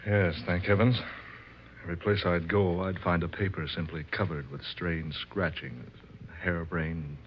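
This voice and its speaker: An actor playing a bored, sceptical husband in an old-time radio drama, speaking in a curt, dry and formal manner. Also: male voice, low-pitched, fairly even intonation, normal speed, close to an everyday voice. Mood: bitter, bored. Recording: muffled, noisy old radio recording.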